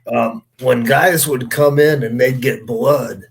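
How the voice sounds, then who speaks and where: A man's voice: medium pitch, fairly even intonation, slow pace, gravelly. Salty man swapping old stories. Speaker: former wrestler on a podcast panel. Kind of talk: casual storytelling chat.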